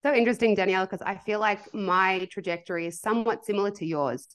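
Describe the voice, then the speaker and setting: A woman's voice: mid-range voice, fast. A young Australian woman hosting a podcast interview, talking in an articulate, engaged way. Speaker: podcast host. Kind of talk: casual interview talk.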